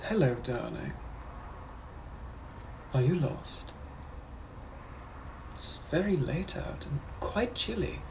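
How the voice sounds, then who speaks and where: A man playing a menacing, possessive gentleman from the 1800s, speaking in a hushed, breathy, put-on voice. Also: fairly high pitch, expressive, slight background noise, full character voice. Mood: silky. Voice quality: hushed breathy whisper, drawn-out purring delivery. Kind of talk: POV comedy skit.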